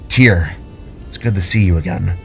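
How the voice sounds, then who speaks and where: A man's voice: sits low, normal speed, creaky, fairly bright tone, soft background music, pitched below the performer's own voice. A man voicing a friendly, sincere spark being, an old comrade of gods, in a fantasy story. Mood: friendly, grateful.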